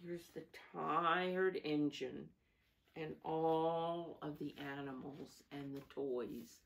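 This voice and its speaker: A woman reading a storybook aloud to young children, expressive, with asides to her listeners. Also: low-pitched, extremely slow, vocal fry. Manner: expressive, asking listeners questions. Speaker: storybook reader.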